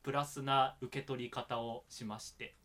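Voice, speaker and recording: A man's voice: medium pitch, flat delivery, moderate pace, bright, forceful tone. A man hosting a podcast in casual, self-deprecating chat. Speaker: podcast host.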